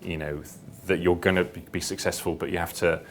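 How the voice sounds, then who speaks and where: A man with a low, deep voice gives an informal seminar talk. He speaks hesitantly, often repeating and restarting phrases as he works toward his point. The recording carries background noise and a low rumble. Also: flat delivery, fairly quick. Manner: hesitant, repetitive, circling back through his phrases. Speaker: seminar speaker, publisher and founder.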